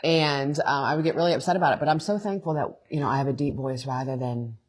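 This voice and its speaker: A woman, co-hosting a morning talk show and telling animated stories. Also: fast talker. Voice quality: deep voice. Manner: animated storyteller, restarts sentences. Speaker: co-host. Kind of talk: casual morning show chat.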